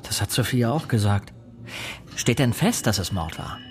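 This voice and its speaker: An actor playing an earnest young English diplomat who narrates and speaks in a radio crime play. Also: male voice, mid-range voice, lively intonation, normal speed, soft background music, lightly acted. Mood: puzzled, urgent. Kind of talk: radio play dialogue and first-person narration.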